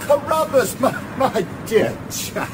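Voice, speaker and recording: A man's voice: extremely high pitch, sing-song, takes it slowly, crowd noise, full character voice. An actor playing an eager, apologetic young yachtsman in a radio play, hesitant and chummy. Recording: clearly noisy, boat ambience behind.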